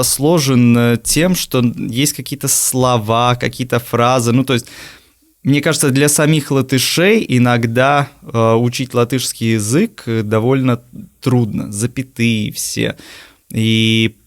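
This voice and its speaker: A young man in his twenties from Riga who is a native Latvian speaker. He answers interview questions in a thoughtful, hesitant way with many filler pauses. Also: mid-range voice. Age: 20s. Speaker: guest, university lecturer.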